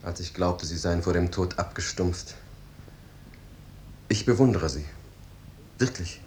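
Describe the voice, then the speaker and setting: An actor playing an earnest, troubled but resolute lawyer in a 1950s German radio drama, with formal, solemn, measured speech. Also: male voice, darkish tone. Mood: earnest admiration. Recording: noisy 1950s radio recording with rumble.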